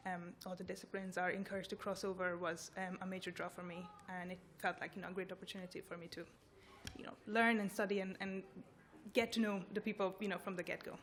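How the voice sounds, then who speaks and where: A woman's voice: on the low side, level tone, fast talker, slight background noise. A woman from Hungary giving evidence at a committee hearing, earnest and full of "you know" fillers. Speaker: committee witness.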